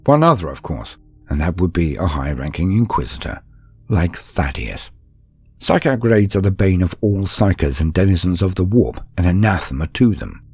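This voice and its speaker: A man with a deep voice that swings widely in pitch as he narrates lore and stories. His delivery is formal and theatrical, with a grandiose turn to each phrase. Music plays along behind him. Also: very animated, moderate pace, darkish tone, breathy edge. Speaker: host of a lore channel and storyteller. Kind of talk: scripted lore narration and dramatic storytelling.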